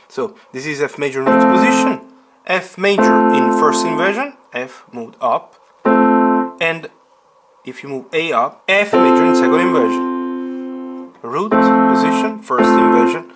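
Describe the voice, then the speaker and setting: Animated male piano teacher with piano behind. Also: fairly high pitch. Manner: step-by-step explaining with emphatic key words and rhetorical questions. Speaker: piano teacher, channel host. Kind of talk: instructional video tutorial. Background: piano chords played as demonstration.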